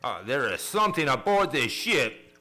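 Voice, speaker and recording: Tense, stammering, frightened ship's mate. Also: male voice, medium pitch, sing-song, sharp tone. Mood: uneasy, haunted. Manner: stammering, halting, agitated. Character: terrified ship's mate sliding into raving madness. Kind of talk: old-time radio play acting.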